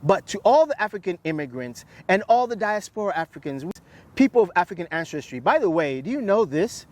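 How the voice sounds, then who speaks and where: A man's voice: high voice, sing-song, brisk, darkish tone. Emphatic man giving life advice. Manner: emphatic, builds lists and rhetorical questions. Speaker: interview guest. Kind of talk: reflective advice-giving conversation.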